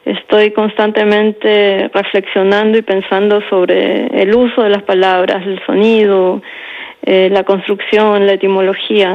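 Woman writer in her forties, even and reflective, with fry in the voice, heard on muffled radio audio. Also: medium pitch. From Peru. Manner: reflective, often adds "¿no?" and repeats words. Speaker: writer, interview guest. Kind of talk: interview answers.

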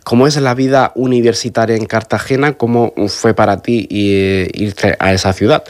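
A man's voice: on the low side, steady tone, normal speed, dry recording. A man interviewing a student, asking questions in a hesitant way with fillers.

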